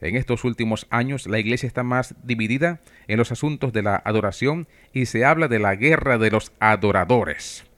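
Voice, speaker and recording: A man from Venezuela with a Venezuelan accent, preaching for radio in a measured, didactic way. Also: mid-range voice, fairly bright tone. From Trujillo, Venezuela. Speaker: preacher and radio program host. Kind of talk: sermon talk for radio.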